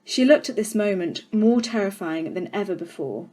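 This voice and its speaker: Children's audiobook narrator: woman, mid-range voice, expressive, deliberate and dramatic. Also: medium pitch, rough recording. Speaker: storyteller.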